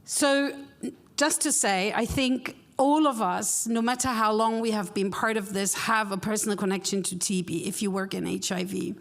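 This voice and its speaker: A woman speaking on a conference panel, loud and reflective, sharing personal experience with quick asides. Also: medium pitch. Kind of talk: panel discussion.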